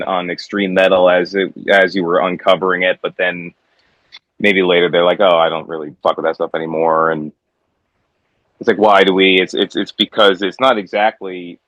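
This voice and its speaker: A man from the United States, a guest musician, talking in a reflective, halting way with many restarts. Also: deep voice, fairly bright tone, no echo, lo-fi sound. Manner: reflective, halting with repeated starts. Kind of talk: casual conversation.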